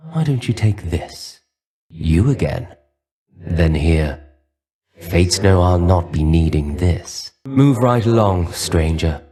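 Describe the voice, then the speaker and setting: A man's voice: sits low, dark tone. A man voicing a weary, brooding dead Greek warrior in a video game, resigned and aloof, with formal, archaic phrasing. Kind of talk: video game character dialogue.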